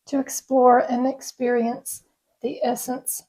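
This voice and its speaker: Slow, soft-toned woman guiding meditation. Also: a little high, flat delivery, low-quality recording. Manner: gentle, soothing, unhurried. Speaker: yoga nidra instructor. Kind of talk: guided meditation.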